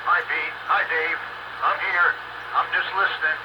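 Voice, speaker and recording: A man's voice: fairly high pitch, steady tone, normal speed. A man giving casual greetings as an amateur radio operator checking in over a radio transmission. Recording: noisy ham radio transmission with static.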